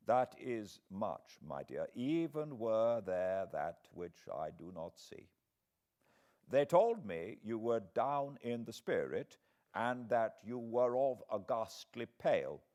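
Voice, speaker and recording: Slow, courtly old professor. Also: male voice, lowish voice, big pitch swings, darkish tone. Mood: suave, genial. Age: older. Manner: slow, deliberate, halting broken-English phrasing. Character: elderly courtly foreign professor, kindly, grave, wise. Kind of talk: audiobook character voice.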